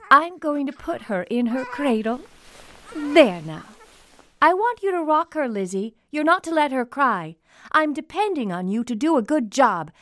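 A woman's voice: high-pitched, a bit bright, slight background noise. A woman playing a cold, commanding plantation mistress in an audio drama, scolding and strict. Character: stern, cold slave-owning mistress of a plantation house.

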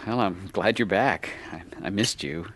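A man's voice: fairly low pitch, normal speed, fairly bright tone, husky. An actor playing an earnest, insecure man struggling with addiction in a radio drama, sometimes hesitant and defensive. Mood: tender. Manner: hesitant, stammering in dialogue. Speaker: lead actor and narrator. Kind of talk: radio drama acting and first-person narration.

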